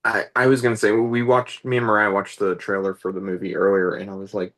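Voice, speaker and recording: A man's voice: fairly low pitch, flat delivery, fast, fairly bright tone. A man taking part in a group discussion, giving his opinion in casual, conversational speech. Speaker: table read participant. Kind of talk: casual chat.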